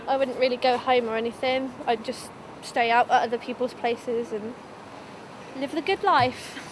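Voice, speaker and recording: A woman's voice: on the high side, steady tone, normal speed, noisy background. A 19-year-old woman giving a candid documentary interview, informal and a little hesitant, as if thinking aloud. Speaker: young mother being interviewed.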